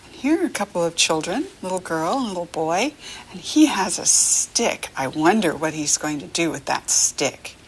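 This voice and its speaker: An American woman reading a picture book to young children as a lesson, encouraging and animated, asking questions as she goes. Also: lowish voice, moderate pace, slight background noise, low-quality recording. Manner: encouraging, asks questions and leaves room to answer; animated, sing-song child-directed talk.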